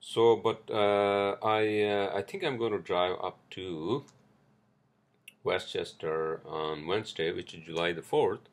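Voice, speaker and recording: Deep gravelly man rambling casually. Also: fairly even intonation, slow. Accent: non-native English accent. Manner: rambling, loose and profane, many fillers and restarts. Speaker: podcast host. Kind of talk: casual solo podcast monologue.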